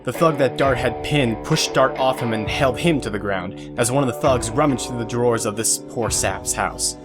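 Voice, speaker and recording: A man's voice: medium pitch, moderate pace. Man narrating an action story over music. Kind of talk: scripted audio drama narration.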